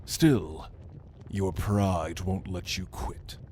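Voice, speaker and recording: Man playing a slow, imperious demon lord. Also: deep voice, steady tone, a little mellow, slight background noise. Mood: grim, instructive. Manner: slow, imperious, theatrical, menacing. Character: proud, commanding male demon lord mentoring a newborn. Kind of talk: ASMR roleplay acting addressed to the listener.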